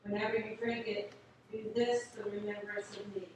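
An older woman, a priest, recites liturgical prayer in a solemn, measured, declaiming manner. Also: mid-range voice, level tone, darkish tone, far from the mic. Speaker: Episcopal priest. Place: inside a small church at the altar. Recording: echoey church from afar, noisy background.

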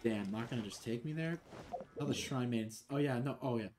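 A man's voice: mid-range voice, brisk. A young man commenting casually on his game, swearing and joking as he plays. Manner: profane, joking, mumbles to himself. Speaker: streamer. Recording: game music underneath.

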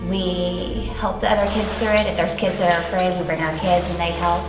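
A woman's voice: on the low side, flat delivery, a little fast. An American woman in her thirties talking casually in an interview as a volunteer guide. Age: 30s. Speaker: caving class guide. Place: inside a rocky cave. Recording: echoing cave, music underneath.

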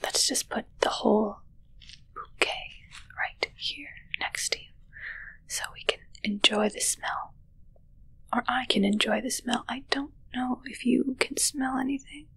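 A young woman acts out a possessive, haughty witch in an ASMR roleplay. Her whisper is bright and fairly high, delivered right up close to the mic. She takes her time, teasing her familiar with hushed control. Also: flat delivery, a little slow, husky, exaggerated voice, poor audio. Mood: wry, teasing. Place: small dark draped room indoors. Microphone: close ASMR microphone.

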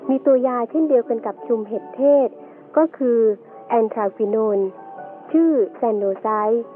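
A woman playing a gentle mother who patiently teaches her young daughter in an educational radio drama. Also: a little high, normal speed, natural voice. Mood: gentle, instructive. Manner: gentle, instructive. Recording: tinny band-limited audio with music behind.

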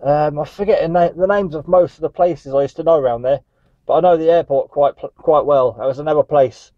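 Muffled radio audio carries a man from Northumberland, England, with a slightly high voice. He chats amiably as a CB operator, dropping radio jargon into casual talk. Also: fairly quick, no room sound. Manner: friendly, chatty, uses radio jargon. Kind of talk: casual radio contact chat.

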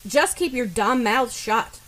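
A woman voicing a gruff, hot-tempered, foul-mouthed teenage boy in a story, curt in manner. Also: on the high side, lively intonation, slow, fairly bright tone. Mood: irritated. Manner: brusque, curt.

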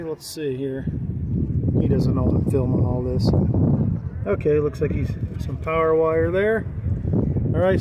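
An American man with a fairly high voice chats casually as he films a walk-around. His talk is slangy and enthusiastic. He is outdoors on a handheld mic, with clear noise behind him. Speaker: vlogger filming a CB radio meetup. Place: outdoors in a gravel parking lot. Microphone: handheld camera or phone mic.

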